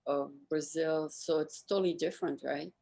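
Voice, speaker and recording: A woman with a low voice hosts an informal interview. She talks conversationally, putting questions to her guest and chipping in with remarks of her own. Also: fairly even intonation, normal speed, gravelly, dark tone. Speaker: interviewer, host.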